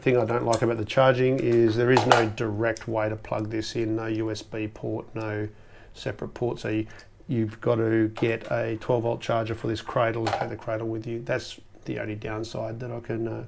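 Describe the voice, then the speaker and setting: A man's voice: mid-range voice, little pitch movement, normal speed, gravelly, background noise. An Australian man in his forties giving a casual hands-on gadget review, with hesitant, off-the-cuff phrasing. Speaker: video host and product reviewer. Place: small home office, indoors.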